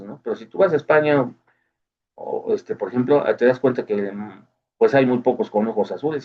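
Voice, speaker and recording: A man giving a casual explanatory monologue as host, often checking in with the listener. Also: mid-range voice. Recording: dull lo-fi recording.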